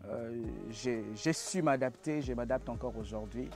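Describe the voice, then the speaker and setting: A man's voice: medium pitch, unhurried, darkish tone, soft background music. A young man from Congo, a pastor, telling his personal testimony in an earnest, preacherly way, with hesitant restarts.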